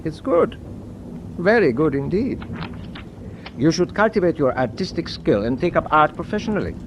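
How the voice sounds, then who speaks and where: A man playing a courteous but stern German sea captain in a radio war drama, speaking in a formal, measured, condescending way. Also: a little high, big pitch swings, background noise. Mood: condescendingly approving.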